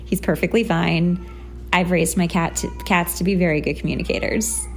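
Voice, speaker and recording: A woman's voice: fairly low pitch, fairly even intonation, moderate pace, gravelly, soft background music. A woman hosting a casual motivational solo podcast, talking in an informal, conversational and emphatic way. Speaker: podcast host.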